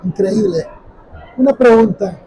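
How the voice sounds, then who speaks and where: Interviewer at a trade show: high, mellow male voice, friendly with many fillers. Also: unhurried, slightly rough, a faint crowd, rough recording. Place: busy expo hall.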